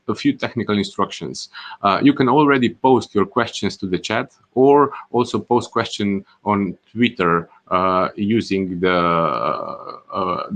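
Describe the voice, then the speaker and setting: A man's voice: on the low side, a bit bright. A man moderating an online course webinar, speaking with frequent "uh" fillers and restarts as he introduces the project and speakers. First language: not Greek. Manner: hesitant, many "uh" fillers and restarts.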